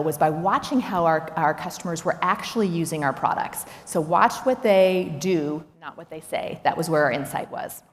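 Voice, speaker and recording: Female business keynote speaker, lowish pitch, instructive and emphatic delivery, recorded in a reverberant venue. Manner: instructive, emphatic, with rhetorical questions. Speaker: chief executive giving a conference talk. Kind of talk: prepared business keynote to an audience. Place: large indoor talk venue. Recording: echoey hall, noticeable background noise.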